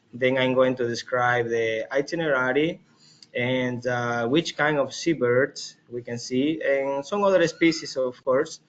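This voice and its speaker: A man's voice: medium pitch, fairly bright tone. Ecuadorian-accented man presenting, slightly muffled audio. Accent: Ecuadorian Spanish. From Galapagos Islands, Ecuador. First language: Spanish. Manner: halting, with frequent "right?" check-ins. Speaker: expedition leader and biologist presenting. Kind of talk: informal slide presentation. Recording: dull webinar audio, faint noise.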